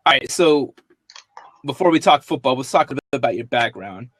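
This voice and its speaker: A man's voice: medium pitch, lively intonation, moderate pace, a bit bright, slightly rough, dry recording. Joking man hosting a sports podcast. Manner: upbeat, joking, loose. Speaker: podcast host. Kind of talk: casual podcast chat.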